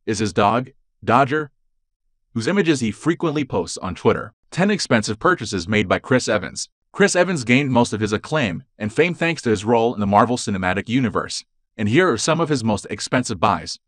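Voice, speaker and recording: A man reading a scripted celebrity voice-over in an even, read-aloud delivery. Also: mid-range voice, normal speed, no echo, clean studio sound. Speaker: narrator.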